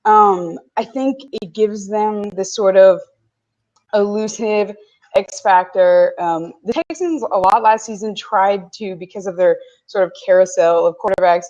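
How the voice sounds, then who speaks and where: A woman's voice: medium pitch, a little slow, lo-fi sound. Young woman breaking down football. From Canada. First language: French. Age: young adult. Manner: analytical, lively football talk. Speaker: guest sports analyst. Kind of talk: casual interview.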